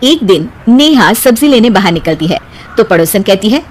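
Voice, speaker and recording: A woman narrating a cartoon moral story in a lively storytelling manner. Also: medium pitch, normal speed.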